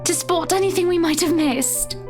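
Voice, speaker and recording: An actress playing a composed, polite wealthy wife in a visual novel, speaking in a measured way over soft music. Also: female voice, very high-pitched.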